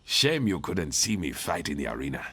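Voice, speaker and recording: An actor playing an arrogant, domineering champion fighter in a fantasy video game, boastful and condescending. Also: male voice, very deep voice, sing-song, normal speed, creaky, sharp tone, breathy edge, full character voice. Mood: scolding, boastful.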